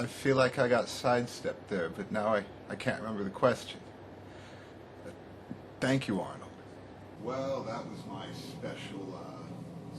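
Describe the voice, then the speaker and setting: A man hosting a comedy show with casual, rambling comic talk. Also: low-pitched. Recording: echoey room with clear background noise.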